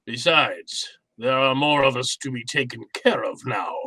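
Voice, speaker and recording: An actor playing a jovial, scheming, genteel crime boss in old time radio style, with florid, courtly, deliberate politeness. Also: male voice, mid-range voice, expressive, sharp tone, slightly rough, no echo, exaggerated voice. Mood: smugly amused.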